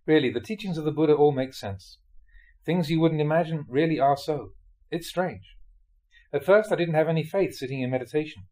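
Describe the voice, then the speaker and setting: A man, a Buddhist monk, reads translated spiritual teachings aloud in a scripted audiobook style, with measured, deliberate phrasing in short clauses. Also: a little high, darkish tone. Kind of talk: scripted audiobook-style reading of dharma talks.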